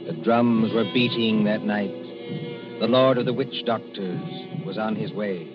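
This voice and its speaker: A man as announcer and narrator, delivering dramatic old-time radio narration in a measured, ominous, theatrical way. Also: fairly low pitch, steady tone. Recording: muffled old radio recording with loud music.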